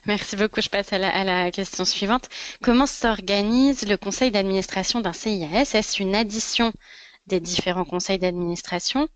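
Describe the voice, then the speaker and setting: Clear-voiced woman moderating, courteous. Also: mid-range voice, moderate pace, dry recording. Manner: courteous, polite. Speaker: moderator of a web conference. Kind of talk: hosting and reading out audience questions.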